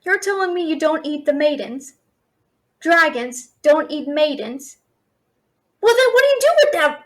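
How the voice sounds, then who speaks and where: A woman acts out a feisty young thief from a fantasy audio drama, caught by a dragon and full of questions. Her voice is very high and expressive, with a slow pace. She talks straight to the listener in a sassy, incredulous manner. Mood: incredulous, skeptical. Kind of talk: fantasy audio roleplay, one-sided dialogue to listener.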